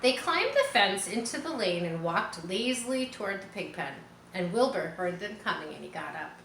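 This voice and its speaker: A woman's voice: mid-range voice, sing-song, normal speed, fairly bright tone, roomy sound, a little hiss or hum. A woman reads a children's story aloud expressively, adding casual asides to listeners as she narrates. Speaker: storybook reader.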